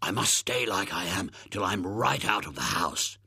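A man plays a scruffy storybook boy hiding behind a hoarse, husky disguise voice. He is gruff, urgent and mysterious, recorded dry and close. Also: on the low side, animated, moderate pace, bright, forceful tone, dry recording, full character voice. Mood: urgent, evasive.

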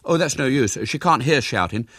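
A man's voice: medium pitch, expressive, moderate pace, fairly bright tone, dry recording, studio quality, pitched above the performer's own voice. A man voicing a young boy who hesitates, stammers and bluffs, in a storybook reading. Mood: desperate, evasive. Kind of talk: storybook character voice.

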